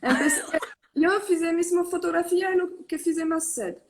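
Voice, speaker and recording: High-pitched young woman on a video call, lots of ehs, flips between spanish and portuguese. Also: unhurried. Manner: mixes Spanish and Portuguese, hesitant with many fillers. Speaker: tattoo artist guest. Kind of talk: casual online live interview.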